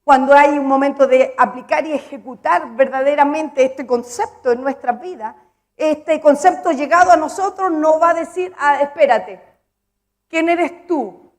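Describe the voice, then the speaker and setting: A woman preaches in a high voice and builds emphasis by repeating her lines. She stops to ask her listeners whether they follow her. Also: normal speed. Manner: emphatic, repeats phrases, asks the congregation questions. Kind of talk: sermon.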